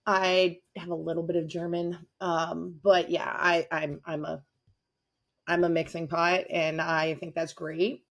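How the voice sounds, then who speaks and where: A woman's voice: fairly low pitch, level tone, a little slow, gravelly. A talkative, enthusiastic woman chatting casually as a guest on a podcast. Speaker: podcast guest, avid reader.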